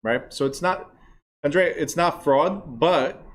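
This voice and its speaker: A man's voice: a little high, slow. A middle-aged man, the director of a real estate school, lecturing a live class in a casual, chatty way and checking in with "right?". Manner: casual, chatty, asks the class questions and says "right?" a lot.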